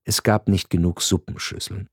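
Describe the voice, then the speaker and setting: A man reading a scripted radio narration in a grave, measured storytelling manner. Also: sits low, level tone, husky, no echo, studio quality.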